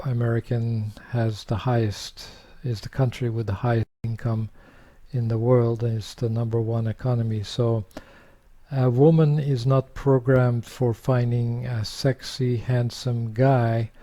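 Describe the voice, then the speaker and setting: A man's voice: mid-range voice, warm tone. An older man from Norway talking casually to camera, rambling with many fillers and sharing opinions. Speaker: vlogger.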